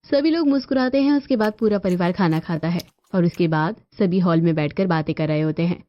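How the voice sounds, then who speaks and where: A woman's voice: fairly low pitch, a little fast, no echo. A woman reading scripted narration for a cartoon family story. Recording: dull lo-fi audio with music behind.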